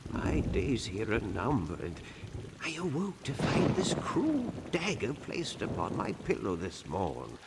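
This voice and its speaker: Troubled old-world monk for game drama: grave, fearful, gravelly, widely rising and falling intonation. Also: male voice, medium pitch, background noise, heavily acted. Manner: grave, troubled, archaic solemn speech. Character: fearful, weary monk who suspects a deadly conspiracy. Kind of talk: video game cutscene acting.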